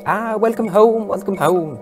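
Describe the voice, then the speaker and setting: A man's voice: high voice, unhurried, soft background music, voice pushed up. A man voicing a kindly, eager old doctor who travels in time, with a genteel, slightly doddery manner. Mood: delighted. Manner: doddery, repeats himself, genteel.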